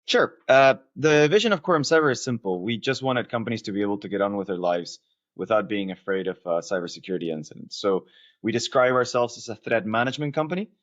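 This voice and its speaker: Low-voiced man, slightly muffled audio. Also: expressive, quick pace, a bit bright. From Argentina. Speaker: company founder being interviewed. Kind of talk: conversational interview answers.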